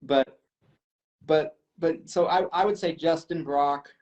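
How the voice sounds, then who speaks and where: Self-deprecating man, slightly high voice. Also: slow, darkish tone. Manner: self-deprecating, thinking aloud with ums. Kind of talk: casual chat. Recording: slightly dull lo-fi audio.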